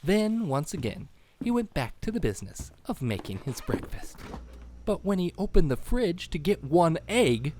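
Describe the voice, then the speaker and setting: A man reading a children's storybook aloud with expressive, animated narration. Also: fairly high pitch, moderate pace, slight background noise.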